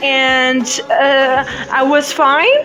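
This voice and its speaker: A woman whose first language is Portuguese hosts a relaxed live podcast, speaking slowly and pausing often on fillers and restarts. Her voice sits a little high, with music running behind her. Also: low-quality recording. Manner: hesitant, many fillers and restarts. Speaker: podcast host. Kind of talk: casual live podcast chat.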